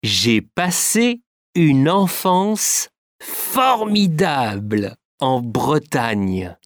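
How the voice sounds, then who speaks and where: A man giving a careful, clearly articulated scripted reading for a language course. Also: on the high side, big pitch swings, very slow, no room sound, clean studio sound. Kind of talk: slow scripted reading for learners.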